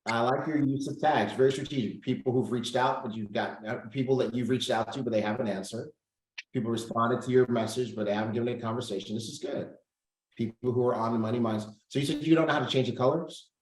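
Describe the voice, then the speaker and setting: A man's voice: medium pitch, fairly even intonation, quick pace, roomy sound, rough recording. A man hosting a casual group coaching call over video, upbeat, thinking aloud and reading out figures. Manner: upbeat, reads out numbers, thinks aloud while clicking.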